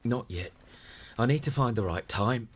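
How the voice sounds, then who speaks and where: A man's voice: medium pitch, animated, moderate pace, slight background noise, close to an everyday voice. An actor playing an evasive young man with a secret lover in a radio soap. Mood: sleepy, evasive. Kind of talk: naturalistic radio drama acting.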